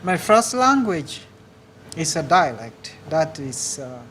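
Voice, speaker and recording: A man from Uganda with a Ugandan accent, answering audience questions in a halting, earnest way at a live speaking event. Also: on the high side, very animated, fairly bright tone, slight background noise. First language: a Ugandan language. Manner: earnest, halting, repeats words. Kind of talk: public speaking Q&A.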